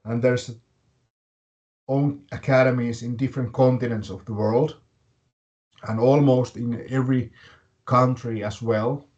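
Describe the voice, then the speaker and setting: Webinar host: man, Finnish accent, mid-range voice, slow and deliberate presenting style. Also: mid-range voice, fairly even intonation, darkish tone, dull audio. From Finland. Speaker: webinar host and fitness academy director.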